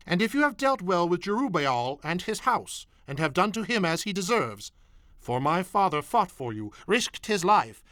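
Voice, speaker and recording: A man's voice: fairly high pitch, sharp tone, no echo, clean studio sound. An actor playing a defiant young biblical man, declaiming to a crowd in a radio theatre Bible dramatization.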